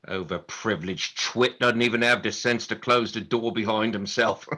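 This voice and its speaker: A man's voice: fairly low pitch, steady tone, moderate pace, bright, forceful tone. An actor playing a put-upon, indignant working man who mutters and hesitates, in a comic radio play. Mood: muttering.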